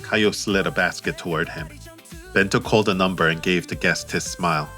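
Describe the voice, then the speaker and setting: Deep male narrator, bright and forceful, measured, faint music bed. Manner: measured, steady. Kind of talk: scripted audiobook narration.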